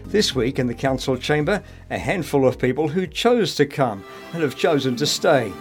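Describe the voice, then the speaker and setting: A man's voice: medium pitch, lively intonation, moderate pace, faint music behind. A man working as a news reporter, asking interview questions and narrating a local news story.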